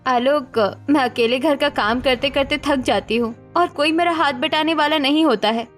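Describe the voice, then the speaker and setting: A woman's voice: sits high, normal speed, faint music behind, close to an everyday voice. A woman playing an overworked housewife in a cartoon story, plaintive and hurt. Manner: plaintive, complaining.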